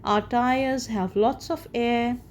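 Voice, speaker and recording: A woman's voice: on the high side, steady tone, takes it slowly. A woman voicing a polite, easygoing cartoon father pig from a storybook.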